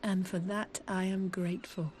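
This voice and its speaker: A woman's voice: medium pitch, flat delivery, moderate pace, a little mellow, faint music behind, natural voice. A young woman playing an earnest, heartfelt young heroine who grieves for her fallen friends in a video game cutscene. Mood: grateful, resolute.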